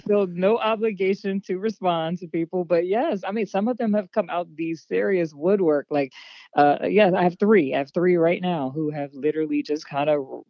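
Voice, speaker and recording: A woman guest in a casual podcast interview, chatting warmly and laughing easily. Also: fairly low pitch, normal speed, dry recording, muffled recording. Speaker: guest actress.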